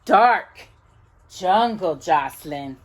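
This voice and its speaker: A woman hosting a children's storytime, reading a picture book with playful, drawn-out emphasis and chatty asides to a companion. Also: on the low side, expressive, slight background noise. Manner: addresses a companion directly while reading.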